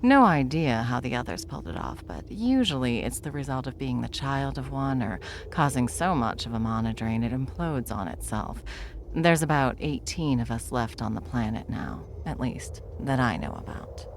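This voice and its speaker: A woman's voice: rumbling low, very animated, normal speed, a little hiss or hum, heavily acted. A woman playing an ancient, deathless spellcaster, wry and self-assured, lecturing theatrically in a fantasy roleplay audio drama. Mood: weary, candid. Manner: theatrical, wry, leisurely lecturing with breathy asides.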